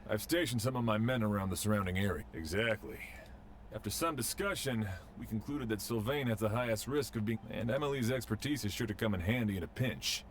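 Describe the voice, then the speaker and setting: A man's voice: on the low side, fairly quick, slight background noise, lightly acted. An actor playing a composed, authoritative male sheriff in a video game, businesslike in delivery.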